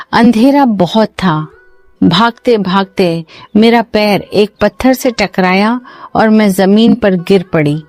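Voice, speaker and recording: A woman playing a frightened, caring mother in a cartoon story, recounting things dramatically and haltingly. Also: medium pitch, darkish tone, natural voice. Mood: distressed. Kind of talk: Hindi cartoon moral-story dialogue.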